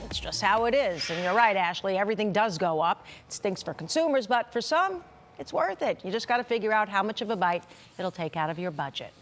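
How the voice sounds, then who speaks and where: A woman's voice: mid-range voice, lively intonation, brisk, soft background music. A woman anchoring TV news in a conversational, chatty way, speaking directly to viewers. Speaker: TV news anchor and consumer reporter. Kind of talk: broadcast news presenting, conversational.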